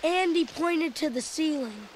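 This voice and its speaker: A performer playing an excitable young boy who tells his adventure as animated, dramatic read-along storybook narration. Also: high-pitched, unhurried, very noisy. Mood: excited storytelling. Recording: loud music under the voice. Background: loud dramatic music.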